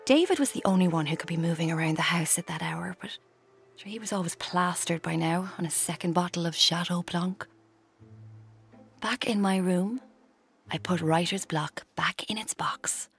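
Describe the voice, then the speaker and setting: An actress playing a watchful woman in her twenties, narrating her own story in a confiding, measured, wry way for a radio drama. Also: lowish voice, vocal fry, slightly rough, soft background music, exaggerated voice. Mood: hushed, wry. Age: 20s.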